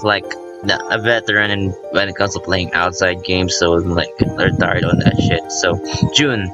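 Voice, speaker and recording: A man giving casual, rambling let's play commentary, excitable and informal, breaking off his own sentences and reading visual novel text aloud. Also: mid-range voice, rough recording. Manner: informal, self-interrupting, excitable. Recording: music playing behind the voice. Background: visual novel game music.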